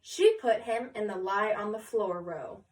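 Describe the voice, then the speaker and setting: A woman reading a picture book aloud to children in an expressive storytelling style. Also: medium pitch, a little slow, a little hiss or hum. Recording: echoey room, distant mic.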